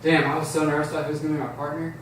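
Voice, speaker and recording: A shy, nervous teenage boy who longs for a friend , read by a man at an amateur table read. The voice is slightly high. It comes from some distance in a very echoey room. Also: little pitch movement, very fast, natural voice. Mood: earnest. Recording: echoey room, distant mic, faint noise.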